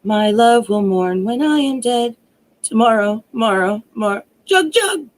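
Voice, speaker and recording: A woman's voice: on the high side, animated, takes it slowly, pitched above the performer's own voice. A woman voicing a sorrowful young maiden in a plaintive singsong, in a storybook reading. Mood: mournful, plaintive. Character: sorrowful young maiden singing, turning into nightingale.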